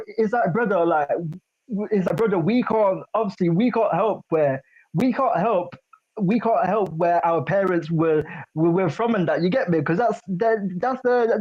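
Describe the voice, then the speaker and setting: A man's voice: high-pitched, a little mellow. A man on a video call, rambling and repeating himself in casual chat. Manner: rambling, repeats himself, lots of restarts and bro. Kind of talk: casual chat on a live stream. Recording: dull lo-fi call audio.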